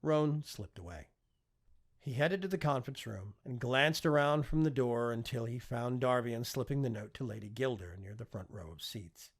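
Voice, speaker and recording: A man narrating a fiction audiobook with expressive, scripted storytelling delivery. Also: mid-range voice, moderate pace.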